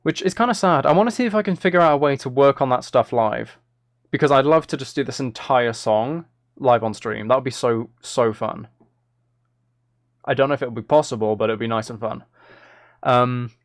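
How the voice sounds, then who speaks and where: A man's voice: mid-range voice, gravelly, no room sound, clean studio sound. A British man thinking aloud in a rambling, casual way, like a streamer chatting while he works on music. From Britain. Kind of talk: casual chat while making music on a livestream. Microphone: streaming microphone, close.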